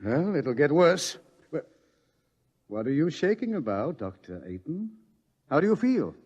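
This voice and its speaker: A sardonic, world-weary scientist in an old-style radio drama. His voice is on the high side and moves widely in pitch, wry and theatrical. A long reverb hangs around it. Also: big pitch swings, measured pace, darkish tone, husky, lo-fi sound, heavily acted. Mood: uneasy. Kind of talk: mid-century radio drama acting.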